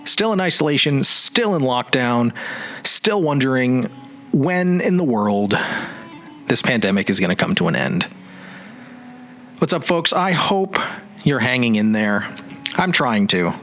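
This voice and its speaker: A man's voice: mid-range voice, very animated, measured pace, long pauses, a bit bright. A man hosting a solo podcast in a casual, reflective and rambling way, often searching for words. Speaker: podcast host. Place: home office indoors. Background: music.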